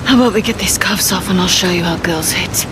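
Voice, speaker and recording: A woman's voice: fairly low pitch, quick pace, noisy background, natural voice. An actress playing a tough, no-nonsense mercenary woman in a video game cinematic. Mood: menacing. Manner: blunt, no-nonsense.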